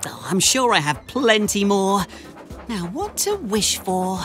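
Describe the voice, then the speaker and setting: A woman playing a cheerful, helpful young male tank engine in a children's animated series. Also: medium pitch, slow pace. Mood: happy musing. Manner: exclamatory, helpful, polite. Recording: music behind the voice.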